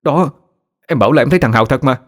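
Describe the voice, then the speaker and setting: A man's voice: medium pitch, fast talker, voice pushed up, lightly acted. A man voicing a frightened young man who is shaken after a dream of his dead friend, talking in an urgent, pleading way.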